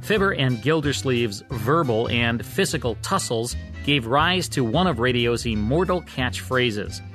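A man's voice: mid-range voice, lively intonation, a little slow. Male radio host narrating over music. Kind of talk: scripted narration.

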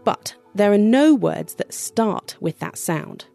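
A woman narrating a science podcast in a clear, explanatory way. Her voice sits at a middle pitch. Faint music plays underneath. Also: expressive, slow, mellow. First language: English. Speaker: podcast producer and presenter.

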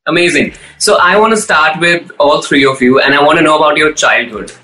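A male podcast host asks questions in a casual way. His voice is bright and a bit high, with a noticeable room echo on the recording. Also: fairly quick. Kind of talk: casual interview. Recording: echoey room.

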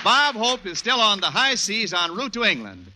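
Very high-pitched American man, 1940s radio announcer. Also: big pitch swings, normal speed. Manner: projected, formal salesmanlike delivery. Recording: noisy 1940s radio broadcast with clicks.

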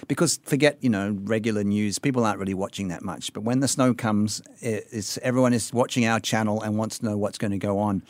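A man, hosting a casual radio chat in a studio and telling relaxed anecdotes. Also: fairly low pitch, fast, hardly any pauses, no echo. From Australia. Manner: relaxed anecdotal, recalls past work. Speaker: radio host. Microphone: studio microphone.

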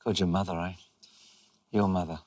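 A man hosting a broadcast interview, asking measured, prompting questions. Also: low-pitched, flat delivery, a little mellow. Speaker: interviewer, host.

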